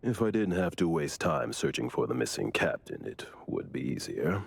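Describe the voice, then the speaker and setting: A man's voice: a bass voice, very animated, moderate pace, creaky, husky, exaggerated voice. An actor voicing a stern, dutiful male lieutenant in an anime game, speaking in a dry, formal, weary way. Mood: dry, exasperated.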